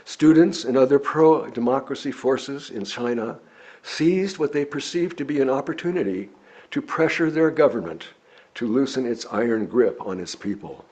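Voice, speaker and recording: A man reads a written sermon aloud in a measured, deliberate, oratorical way, with a husky voice. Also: medium pitch, lively intonation, darkish tone. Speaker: lay preacher reading a written sermon. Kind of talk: sermon read from a script. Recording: dull, lo-fi recording.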